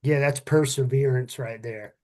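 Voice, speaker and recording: A middle-aged man talks casually as co-host of a podcast for first responders. His delivery is earnest and candid, with a salty tongue, and his voice sits at a medium pitch for a man. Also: fairly even intonation, normal speed, warm tone. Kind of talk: casual heartfelt talk among friends.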